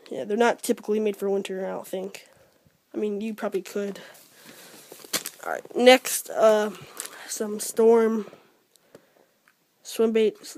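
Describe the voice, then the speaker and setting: A teenage boy with an American accent talking casually and a little haltingly as he shows things to a handheld camera at home. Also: sits high, a little mellow. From Georgia, United States. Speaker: fishing vlogger. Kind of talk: casual unscripted product showcase. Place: room at home. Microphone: handheld camera at arm's length.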